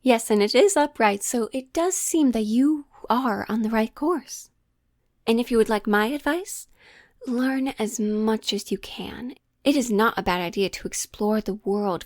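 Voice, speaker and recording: A woman playing a witch who reads tarot in an audio roleplay, mysterious and teasing, speaking deliberately and theatrically to the listener. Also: fairly high pitch, no room sound, clean studio sound. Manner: slow, deliberate, theatrical, with pondering pauses.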